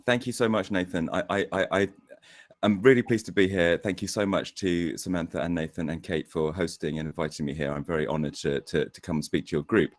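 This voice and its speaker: A man with a hoarse, croaky voice from a cold, giving an academic seminar talk over a video call, a little hesitant. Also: low-pitched, steady tone, brisk. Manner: hesitant, repeats words, self-deprecating. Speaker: guest lecturer.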